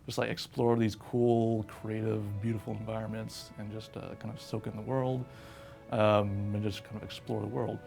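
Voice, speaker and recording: A man with a low voice and plenty of vocal fry gives a casual interview. He thinks aloud in a conversational way, padding his points with small asides. Music plays behind him. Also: little pitch movement, moderate pace, darkish tone. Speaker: video game interviewee.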